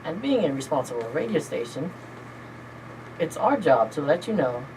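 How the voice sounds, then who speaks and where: A woman with a low voice hosts a college radio interview, asking casual, upbeat questions. A noticeable hiss sits behind her voice. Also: sing-song, normal speed, a little mellow. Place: small radio studio. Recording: noisy background hiss.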